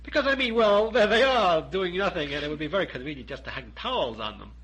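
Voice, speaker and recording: A man voicing a brisk, polite and practical rabbit in a storybook, fussy and matter-of-fact, with clipped delivery. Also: sits high, expressive, a bit bright, slight background noise, voice pushed up, low-quality recording. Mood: cheerful, fussy.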